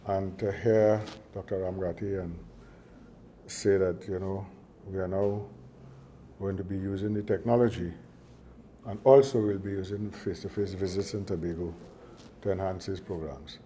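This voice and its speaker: An older man with a Trinidadian accent delivers a formal ceremony speech that keeps drifting into jokes and stories. His voice sits fairly low and sounds dark and mellow. He talks in a chatty way, with lots of fillers and restarts. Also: steady tone, normal speed, long pauses, a little hiss or hum. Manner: chatty, joking, meandering with many fillers and restarts. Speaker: university chairman at signing ceremony.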